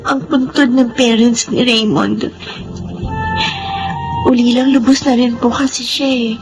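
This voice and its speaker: Malumanay na dalagang ulila, may musika. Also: female voice, fairly high pitch, fairly even intonation, unhurried, rough recording. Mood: solemn, emotional. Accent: Filipino. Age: young adult. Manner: earnest, polite, emotional. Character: gentle, grieving young orphaned woman, hopeful niece. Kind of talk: Filipino radio-style drama acting. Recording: music behind the voice.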